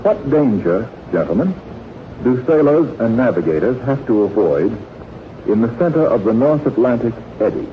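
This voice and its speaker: A man's voice: medium pitch, sing-song, normal speed. A man hosting a live 1940s radio quiz, teasing and bantering with the panel between questions. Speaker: quiz show host. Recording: tinny, noisy 1940s radio broadcast.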